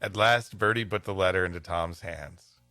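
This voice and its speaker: A man reading a storybook tale aloud as a podcast host, in expressive narration. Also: deep voice, moderate pace, dry recording, studio quality, faint music behind.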